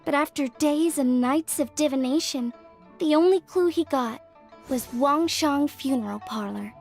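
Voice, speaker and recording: A woman's voice: high-pitched, unhurried. A young woman voicing an earnest craftswoman from a fortune-telling clan, explaining things in a modest, careful way. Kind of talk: video game voice acting. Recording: game cutscene with faint music.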